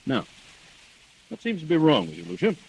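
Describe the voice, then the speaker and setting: A man's voice: fairly high pitch, huge pitch swings, moderate pace, darkish tone. A man playing an avuncular, dismissive family doctor with a soothing, hemming manner in 1940s radio acting. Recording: noisy old 1940s radio recording.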